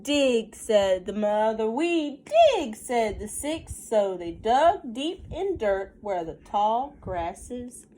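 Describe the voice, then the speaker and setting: A woman reading a picture book aloud to young children like a teacher, in an expressive sing-song way with simple questions and asides. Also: fairly high pitch, slow pace, hardly any pauses.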